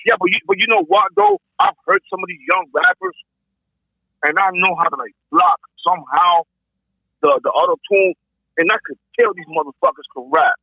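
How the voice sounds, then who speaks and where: A man with a high, creaky, husky voice that swoops widely as he talks. He is blunt and profane in casual panel chat, heard through slightly dull, dry call audio. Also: very animated, normal speed, dry recording. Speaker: podcast panelist. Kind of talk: casual chat about hip-hop.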